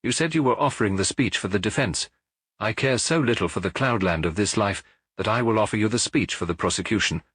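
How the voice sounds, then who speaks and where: A man's voice: fairly low pitch, brisk, a bit bright, slightly rough, no room sound. A man voicing a proud, scornful sun-cult prophet in a lofty, oratorical manner for an audiobook story. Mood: proud, defiant.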